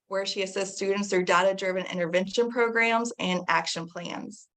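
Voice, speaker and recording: A woman's voice: medium pitch, a bit bright. A woman moderating a webinar on a video call, reading out a speaker's bio.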